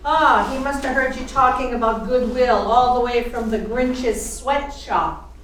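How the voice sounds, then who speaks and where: Caring, sardonic wife, radio drama: theatrical, unhurried, high voice, distant in an echoing room. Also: female voice, fairly bright tone. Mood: wry. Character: wry, caring young wife. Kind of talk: radio play acting. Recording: echoey room, distant microphone, background noise.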